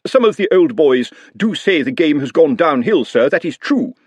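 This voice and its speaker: A man's voice: a little high, big pitch swings, moderate pace, darkish tone, breathy edge, dry recording, pitched above the performer's own voice. A man doing a rambling, deferential groundsman. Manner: rambling, deferential, tangled phrasing. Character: awkward older male groundsman, deferential.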